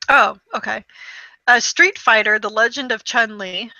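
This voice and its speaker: Bright, wry woman, casual podcast chat. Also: a little high, a little slow, no room sound, low-quality recording. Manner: wry, dismissive asides. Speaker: co-host of a video game review show. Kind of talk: casual chat, reading through a list with commentary.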